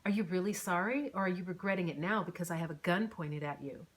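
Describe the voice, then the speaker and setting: A woman's voice: fairly low pitch, quick pace, lightly acted. A woman voicing a menacing, angry male attacker with an accusing, aggressive delivery in an audiobook.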